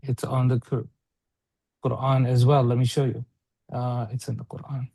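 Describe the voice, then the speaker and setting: A man's voice: medium pitch, little pitch movement, moderate pace, creaky, warm tone, dry recording. A man teaching a one-on-one religious read-along lesson, repeating and rephrasing points and checking with short questions.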